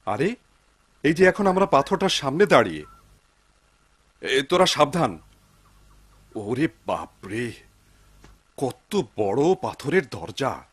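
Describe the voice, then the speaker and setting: A man's voice: mid-range voice, big pitch swings, slow, pauses often, no room sound, heavily acted. A man playing a kindly, pious, poor woodcutter in a dubbed cartoon, exclaiming and wondering aloud to himself. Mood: wary, nervous. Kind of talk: dubbed cartoon acting, talking to himself and animals. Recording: clearly noisy cartoon soundtrack.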